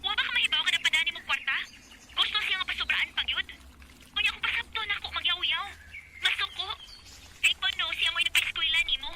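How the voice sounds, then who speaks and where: An aggrieved young Cebuano wife in a Bisaya radio drama. She pleads and complains at a quick clip in a very high voice, under heavy noise. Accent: Filipino, Cebuano. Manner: plaintive, complaining. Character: aggrieved, outspoken young wife, standing her ground. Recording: very noisy radio drama recording.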